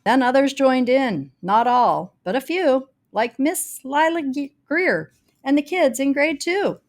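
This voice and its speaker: A woman reads a rhyming children's storybook aloud, telling it in a dramatic, deliberate way with varied emphasis. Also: high voice, expressive. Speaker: storybook reader. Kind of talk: expressive read-aloud of a rhyming children's picture book.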